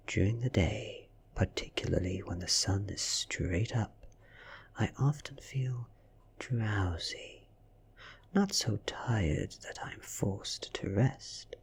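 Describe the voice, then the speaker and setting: A man with a British accent reads a story aloud in a hushed, breathy, deliberate ASMR manner. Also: fairly low pitch. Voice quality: breathy, whispery. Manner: hushed, deliberate, measured delivery. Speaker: ASMR reader. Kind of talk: soft-spoken ASMR audiobook reading.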